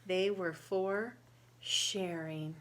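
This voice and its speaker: A woman reading a picture book aloud to children in a teacherly way, pausing to ask questions and explain words simply. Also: fairly low pitch, steady tone, slight background noise.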